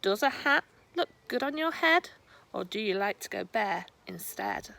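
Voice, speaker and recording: A woman reading a rhyming children's storybook aloud in a deliberate storytelling manner. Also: medium pitch, very animated, vocal fry, pushed and bright. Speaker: storybook reader. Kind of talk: scripted children's rhyme read aloud.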